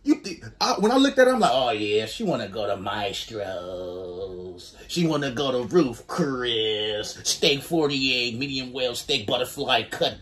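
A man in his twenties doing casual reaction commentary on a headset mic, slangy and animated, jumping to high exclamations. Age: 20s. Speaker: reaction streamer.

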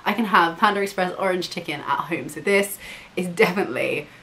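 A woman in her twenties chatting casually in a haul vlog, bubbly with quick asides, recorded in a bedroom on a camera mic. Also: on the low side, bright, forceful tone. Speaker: vlogger. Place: bedroom, indoors. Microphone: camera mic, seated facing camera.

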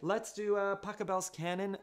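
A man in his thirties, an accordion teacher, explaining things step by step in a relaxed live-stream lesson from a small home music room. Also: sits high, normal speed. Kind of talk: casual live-stream demonstration. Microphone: studio mic on boom arm, wearing headphones. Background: accordion and looped music.

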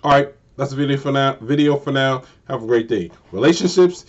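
A man's voice: medium pitch, dull audio. Man in his forties giving repetitive advice. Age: 40s. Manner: repetitive, emphatic, slowing down to stress points. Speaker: vlogger. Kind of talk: casual unscripted advice monologue. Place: small bedroom, indoors. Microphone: built-in camera mic at desk distance.

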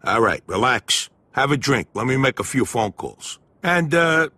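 A man's voice: medium pitch, sing-song, a little slow, sharp tone, dry recording, full character voice. A man playing a brash, easygoing mobster buddy in a video game, with an American accent and colloquial, streetwise talk. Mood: teasing, reassuring. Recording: noisy game ambience, engine sounds behind.

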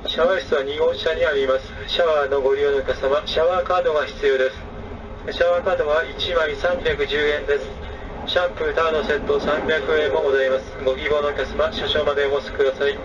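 A male train conductor speaks in a formal, measured way over the PA of an overnight sleeper train, with a fairly high voice. The sound is dull and heard from a distance, recorded off the public address speaker in 2006, with steady train noise running underneath. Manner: formal, measured, with frequent "e" fillers. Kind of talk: onboard train announcements. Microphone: train public address system, recorded from a passenger seat.

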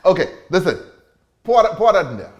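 A man's voice: high-pitched, very animated, a little fast, dull audio. A man with an American accent hosting a cocktail show, bantering in casual chat with jokes and cursing. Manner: joking, profane banter. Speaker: bartending show host.

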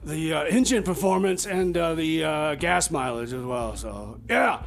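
A man playing a nervous, fussy tinkerer who stammers hesitantly in comedy radio theater, with a creaky voice. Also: a little high, animated, unhurried. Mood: nervous, sheepish.

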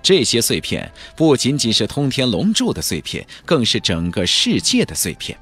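A man narrating a fantasy audiobook in a measured, theatrical storytelling delivery. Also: medium pitch, huge pitch swings, a bit bright, breathy edge, soft background music. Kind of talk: dramatic scripted narration of a fantasy novel.

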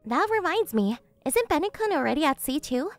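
A woman's voice: sits high, sing-song, a little fast, soft background music. A woman voicing a brash, teasing young anime heroine with a blunt manner in a comic dub. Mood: casual, blunt. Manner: blunt, foul-mouthed.

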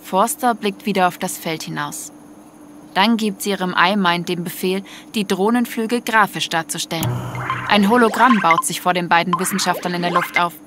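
A woman's voice: fairly low pitch, normal speed, noisy background. A woman narrating a scripted audio story in prose. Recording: music under the voice.

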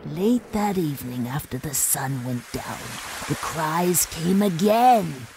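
Slow, eerie woman narrator. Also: sits low, expressive, a little hiss or hum. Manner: hushed, eerie, slow storytelling. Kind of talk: scripted storybook narration.

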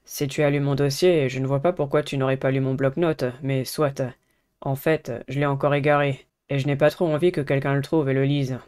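A woman's voice: rumbling low, steady tone, a little fast, a little mellow, clear, resonant tone, voice pushed down. A woman voicing a reserved, polite teenage boy singer from a visual novel, in an understated manner. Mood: discreet. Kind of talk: visual novel character reading.

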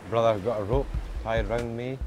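A man's voice: mid-range voice, fairly even intonation, normal speed, darkish tone, faint music behind. A Scottish lifeboat crewman, recounting a rescue in a plainspoken interview manner. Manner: plainspoken, with a short laugh now and then. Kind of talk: interview recollection.

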